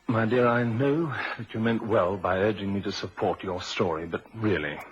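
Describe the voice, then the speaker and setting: Low-voiced troubled man acted in old radio drama. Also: moderate pace, lightly acted. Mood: troubled, uncertain. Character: troubled, uncertain husband under suspicion. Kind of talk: vintage radio drama acting. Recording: muffled, noisy vintage radio recording.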